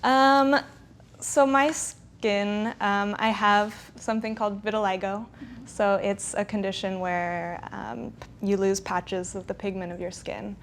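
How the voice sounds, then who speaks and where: A woman's voice: medium pitch, steady tone, unhurried, slight background noise. A woman in her twenties talking candidly and reflectively about her own skin. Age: 20s. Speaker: participant. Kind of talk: candid conversation.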